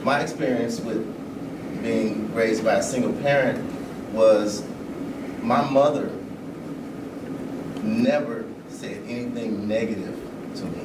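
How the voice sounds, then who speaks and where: A man giving a personal account in an informal discussion, in short, halting phrases with pauses. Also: lowish voice. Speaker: panel speaker. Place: large room at a live event. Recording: roomy, distant mic, clear background noise.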